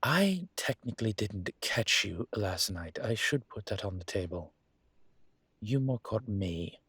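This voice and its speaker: Low, husky flirtatious incubus professor played by a man. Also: expressive, a little slow, a bit bright, no room sound, heavily acted. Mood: warm, admiring. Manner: teasing, playful, breathy asides. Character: flirtatious, wry male immortal incubus demon professor. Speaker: voice actor in an audio roleplay. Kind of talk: intimate audio drama roleplay, conversational.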